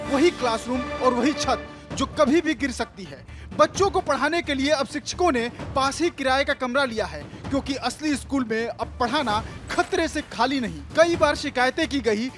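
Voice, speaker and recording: A man reading a scripted news report as a reporter. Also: very high voice. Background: music bed.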